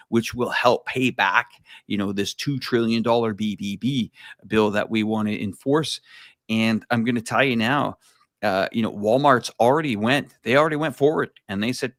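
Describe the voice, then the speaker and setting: A man's voice: fairly low pitch, a bit bright, no room sound, studio quality. Rambling man in casual chat. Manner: rambling, many fillers and restarts, laughs easily. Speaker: co-host. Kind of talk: casual livestream discussion.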